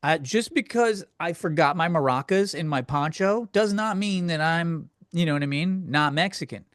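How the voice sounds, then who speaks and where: Crude, rambling man, slightly high voice. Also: normal speed, a bit bright, no echo, clean studio sound. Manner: crude, profane, self-deprecating; rambling, conversational. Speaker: podcast host. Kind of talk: casual comedic solo rant, answering listener questions.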